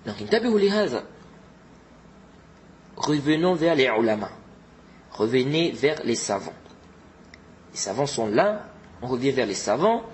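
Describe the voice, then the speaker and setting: A man's voice: medium pitch, big pitch swings, unhurried, pauses often, slight background noise. A man giving an informal religious lesson, exhorting his listeners and often repeating his points with Arabic religious terms mixed in. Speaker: religious teacher.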